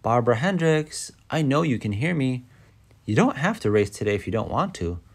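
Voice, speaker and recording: A man's voice: mid-range voice, sing-song, moderate pace. A man voicing an encouraging young girl in a storybook, earnest and coaxing as she coaches her pet. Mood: reassuring, coaxing.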